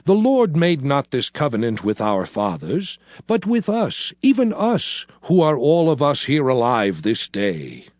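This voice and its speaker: A man's voice: medium pitch, wildly swooping pitch, measured pace, few pauses, darkish tone, no echo, studio quality. An actor playing a solemn, impassioned prophet exhorting his people in grave, emphatic scriptural oratory. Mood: solemn commanding. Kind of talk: dramatized scripture oratory in archaic English.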